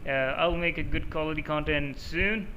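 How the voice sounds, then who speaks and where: Bright-voiced man, Urdu native, rambling tutorial. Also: a little high, moderate pace, lo-fi sound, slight background noise. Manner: loose and rambling, many filler sounds. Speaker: mobile gaming channel host. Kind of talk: casual off-the-cuff settings walkthrough.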